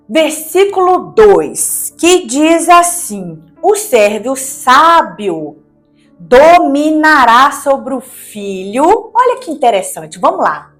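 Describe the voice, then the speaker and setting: A woman's voice: a little high, a bit bright. A woman with a Brazilian accent from Minas Gerais gives a devotional Bible teaching, reading and explaining in a didactic, conversational way with rhetorical questions. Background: background music.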